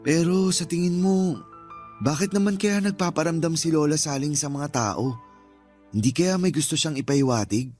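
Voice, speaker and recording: Guy acting as nervous filipino guest in a radio drama, kinda high voice, uneasy, soft music behind. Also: normal speed, lightly acted. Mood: curious. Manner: uneasy, questioning. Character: nervous male guest, easily spooked by ghosts.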